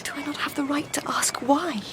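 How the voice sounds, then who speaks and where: A woman playing a determined young Victorian wife in a radio drama, earnest formal phrasing and hushed asides. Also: high-pitched, fairly even intonation, fairly quick, slight background noise, natural voice. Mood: pleading, insistent. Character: determined, questioning young Victorian wife turned amateur detective. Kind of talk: radio drama acting with hushed first-person narration.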